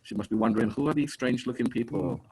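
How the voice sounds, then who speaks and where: A man's voice: mid-range voice, fast talker, a little mellow, husky, poor audio. A man from England hosting a family video call, talking in a hesitant way with many restarts.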